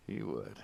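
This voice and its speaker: An actor playing an affable middle-aged mobster in a story game, gravelly, conversational and coaxing, with quiet menace. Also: male voice, natural voice. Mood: firm, coaxing. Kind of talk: naturalistic narrative game voice acting.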